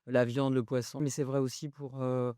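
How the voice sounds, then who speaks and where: A woman's voice: extremely low pitch, flat delivery, normal speed, mellow, dry recording, clean studio sound. A woman in her fifties, a food journalist chatting casually as a guest, hesitant with many fillers and easy laughs. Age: 50s. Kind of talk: casual conversation.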